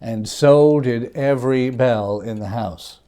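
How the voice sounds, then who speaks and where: A man reading a classic ghost story aloud in a theatrical, expressive manner with emphatic phrasing. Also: medium pitch, takes it slowly. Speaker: reader.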